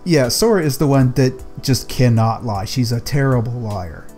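A man's voice: mid-range voice, moderate pace, a little mellow. A man co-hosting a podcast, giving his opinions in casual discussion. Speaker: podcast co-host. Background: faint music.

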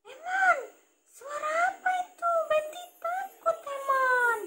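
A woman voicing a small, anxious young female duck puppet in a children's story, whiny and pleading in a drawn-out way. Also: very high-pitched, echoey room, loud music behind the voice, pitched above the performer's own voice. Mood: frightened. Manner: pleading, plaintive, drawn-out delivery.

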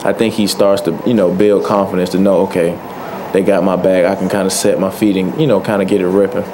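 A young man speaks into a table microphone at a postgame press conference. His voice sits low and stays flat, and he talks in a relaxed, soft-spoken way with many fillers. Steady hiss runs under the room. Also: brisk. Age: young adult. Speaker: college football player. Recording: noisy press room audio.

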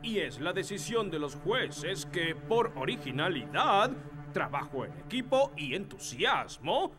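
An actor playing a formal cartoon contest judge, ceremonious and suspenseful in a children's show. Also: male voice, high voice, very animated, a little slow, over music. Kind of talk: animated children's cartoon acting.

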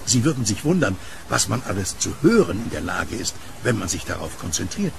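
An actor playing a gentle, easygoing blind newspaper seller and war veteran in an audio drama, speaking in a friendly, matter-of-fact way. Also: male voice, on the low side, very animated, a little fast, gravelly, slight background noise, full character voice. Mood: calm, candid. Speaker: kiosk newspaper vendor.

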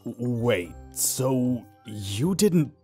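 A man voicing a flustered, kindly, awkward young man with an expressive delivery in an animated roleplay. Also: medium pitch, very slow. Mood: kindly, coaxing. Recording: background music under dialogue.

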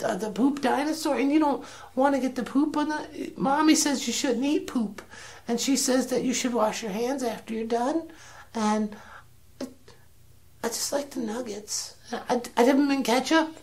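Woman playing a high-voiced rambling little child. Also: a little mellow, lightly acted. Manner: hesitant, repetitive, meandering. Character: rambling, innocent four-year-old child. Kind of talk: comic radio drama dialogue.

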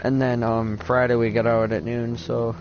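A deep-voiced teenage boy chatting casually with a friend while playing a game, laid-back and swearing a lot. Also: little pitch movement, normal speed, dull audio, music in the background. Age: teen. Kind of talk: casual gaming chat.

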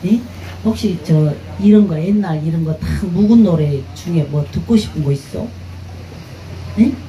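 A woman's voice: lowish voice, mellow, a little hiss or hum. A middle-aged woman from Korea's Jeolla region with a strong Jeolla accent, a festival performer chatting and teasing between songs on a handheld mic. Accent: Jeolla Korean dialect. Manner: chatty, teasing, earthy, bantering with audience. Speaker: pumba street performer and singer. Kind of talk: live stage banter between songs. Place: outdoor festival stage with loudspeakers. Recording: echoey outdoor PA sound with music.

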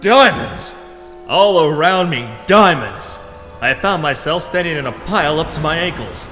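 A man acts out a swaggering, macho adventurer from a pulp radio parody. His voice sits fairly high and swoops with theatrical boasting. Music plays clearly behind him. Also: lively intonation, unhurried, pushed and bright, long reverb tail. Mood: excited. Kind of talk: hard-boiled pulp radio serial narration and dialogue.

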